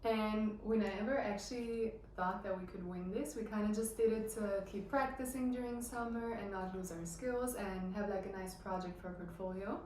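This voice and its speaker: A woman's voice: mid-range voice, normal speed, few pauses, a little hiss or hum. Young female student from Austria, easygoing and chatty, heavy room echo from a distant mic. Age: young adult. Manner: relaxed, with a lot of casual fillers. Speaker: thesis student. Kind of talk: casual group interview.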